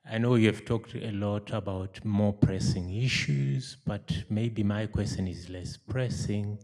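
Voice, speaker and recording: Audience member: man from Zimbabwe, deep and warm, very slow, gentle. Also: gravelly. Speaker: audience member asking a question. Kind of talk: audience question at a lecture. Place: lecture room, standing at a podium. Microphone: handheld microphone.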